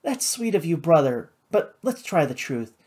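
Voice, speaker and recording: A woman's voice: deep voice, very animated, normal speed, dark tone, husky, exaggerated voice. A woman voicing a playful, defiant young socialite sister in theatrical audiobook dialogue. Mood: bitterly sardonic. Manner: teasing, theatrical.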